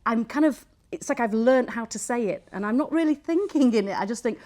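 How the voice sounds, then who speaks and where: A woman from Manchester hosts a podcast in a chatty, enthusiastic way. She asks curious questions and reacts quickly to her guest's answers. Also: fairly high pitch, lively intonation, a little mellow. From Manchester, England. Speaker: podcast host. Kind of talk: casual interview chat.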